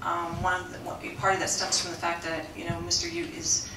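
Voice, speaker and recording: A woman with a loud coach's voice, reining it in while she presents to a school board, earnestly and with many restarts. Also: fairly low pitch, monotone, brisk, noisy background. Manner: loud coach's voice, softened for the mic; earnest, with frequent restarts and fillers. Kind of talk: formal presentation at a board meeting. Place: school board meeting room. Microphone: room microphone. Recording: echoey room, mic at a distance.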